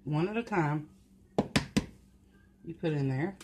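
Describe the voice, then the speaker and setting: An older woman at home giving plain, unhurried step-by-step cooking instructions in her kitchen. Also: low-pitched. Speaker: home cook. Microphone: camera at the counter, off-screen speaker. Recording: noisy home recording. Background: mixer and kitchen clatter.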